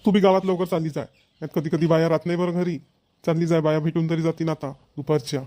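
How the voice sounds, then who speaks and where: A chatty middle-aged village father from a cartoon comedy, acted by a man, practical and a little bossy. He speaks rapidly in a fairly high voice with a Varhadi Marathi accent, repeating himself for emphasis. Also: flat delivery, dark tone, no echo, lightly acted. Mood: agreeable.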